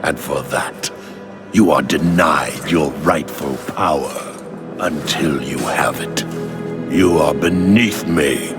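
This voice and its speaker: A man's voice: a bass voice, exaggerated voice. An actor playing an ancient, imperious king of the dead in a video game, haughty, bitter and menacing. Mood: scornful. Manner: slow, haughty, commanding. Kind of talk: dramatic video game acting. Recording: loud background music.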